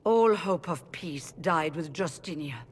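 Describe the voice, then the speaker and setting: Weary, husky rebel mage leader. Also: female voice, low-pitched, lively intonation, exaggerated voice. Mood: resigned. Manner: formal, measured. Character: weary, defensive female leader of rebel mages. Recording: long hall reverb.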